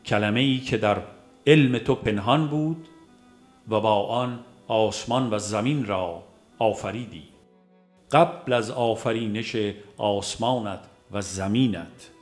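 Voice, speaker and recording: A man narrating a religious audiobook in a measured, formal way, phrase by phrase. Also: fairly low pitch. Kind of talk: scripted audiobook reading of a religious book. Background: music.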